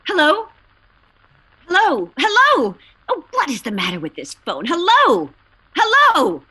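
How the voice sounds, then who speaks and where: A woman's voice: very high voice, wildly swooping pitch, unhurried, bright, forceful tone, heavily acted. A woman acting a nervous, demanding bedridden woman in a suspense radio play, fretful and haughty, given to exclamations. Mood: agitated.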